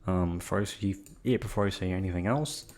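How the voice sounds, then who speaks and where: A man's voice: deep voice, fairly quick, darkish tone. A man speaking casually as a channel host, in a rambling, offhand way. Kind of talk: casual outro thanking listeners.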